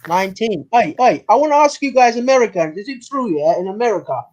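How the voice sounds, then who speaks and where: A man's voice: high-pitched, lively intonation, quick pace, noisy background. A thirty-year-old man chatting casually on an online group call, often repeating his phrases for emphasis. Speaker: participant in an online religious debate. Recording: dull, lo-fi online call audio.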